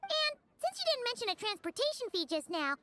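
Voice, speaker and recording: A woman voicing a small, chatty, excitable fairy companion with a squeaky childlike voice, in a video game. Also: brisk, heavy background noise. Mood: chatty, curious. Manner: speaks of self in third person.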